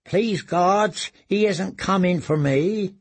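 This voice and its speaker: A man's voice: high voice, very slow, pitched above the performer's own voice, heavily acted. A man voicing a starved, broken male prisoner who whimpers, stammers and begs. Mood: terrified pleading.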